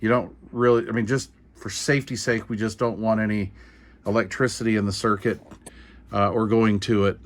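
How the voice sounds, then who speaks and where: A middle-aged or older American man giving an informal hands-on how-to explanation in an unhurried, halting, folksy manner. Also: lowish voice, little pitch movement. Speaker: repair tutorial host. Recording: clear background noise.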